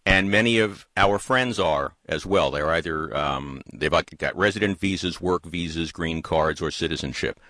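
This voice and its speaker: A man in casual political chat, thoughtful and animated, talking in long winding runs. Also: very deep voice, normal speed, hardly any pauses, no room sound, studio quality. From United States.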